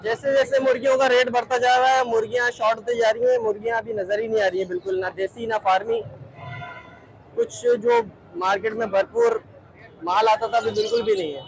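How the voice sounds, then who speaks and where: A man's voice: sits high, fairly even intonation, a little fast, some chatter behind, poor audio. A young man chatting casually as he films himself walking through a crowded outdoor bird market, asking sellers about prices. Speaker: vlogger. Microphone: handheld phone at arm's length.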